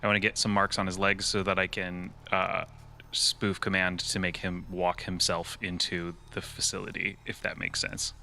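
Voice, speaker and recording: A man's voice: sits low, level tone, normal speed, vocal fry, bright, forceful tone, slight background noise. A man playing a tabletop role-playing game, casually describing what his character does. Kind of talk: casual improvised game talk.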